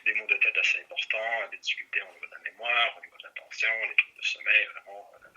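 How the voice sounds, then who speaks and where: Male interview guest, native English speaker with English Canadian accent. Low pitch, harsh bright edge, tinny phone sound. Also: steady tone, fast talker, slightly rough. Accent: English Canadian accent in French. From Montreal, Canada. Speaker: interview guest, neurofeedback practitioner and trainer. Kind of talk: radio interview, explaining his work.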